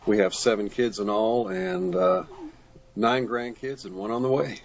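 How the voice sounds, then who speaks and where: Older male, fairly low pitch, guest author, conversational interview answers. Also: measured pace, a little hiss or hum. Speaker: guest author, retired pastor and teacher.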